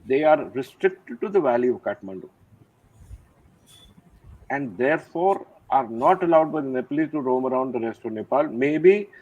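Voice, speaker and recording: Retired older general, unhurried and reflective, husky voice, phone-quality dry audio. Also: male voice, mid-range voice, lively intonation, dry recording. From India. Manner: measured, reflective, many "uh" pauses. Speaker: retired army general, guest. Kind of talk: interview conversation. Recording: thin phone-like line, dry.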